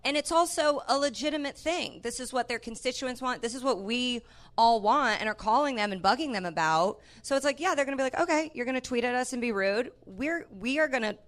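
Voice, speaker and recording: A woman's voice: fairly high pitch, expressive, fairly quick, fairly bright tone. A woman guest on a comedy panel giving casual, sarcastic commentary and acting out mock quotes. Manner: sarcastic, conversational, mock quoting.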